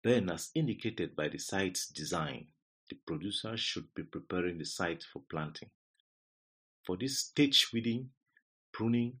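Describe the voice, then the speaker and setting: A Cameroonian man narrates a training lesson from a script. His voice is low and somewhat husky, with a Cameroonian accent. He reads deliberately, setting out each phrase in turn as an instructor would. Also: a bit bright.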